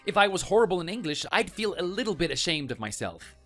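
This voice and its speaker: A man's voice: high voice, sing-song, fast talker, a bit bright. A man narrating a first-person story and arguing back in an indignant, defensive tone, with faint music behind.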